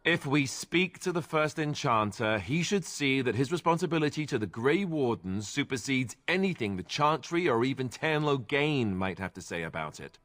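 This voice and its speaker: A wry young warrior played by a man from a fantasy game, with a medium-pitched voice in a dry recording. He is good-natured and reluctant to lead, quick with a sardonic aside. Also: animated, a bit bright, no echo, natural voice. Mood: earnest, wry.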